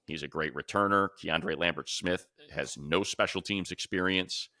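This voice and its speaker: A man co-hosting a sports talk show, giving casual analysis and thinking out loud as he runs through lists of names. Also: low voice, moderate pace, no room sound.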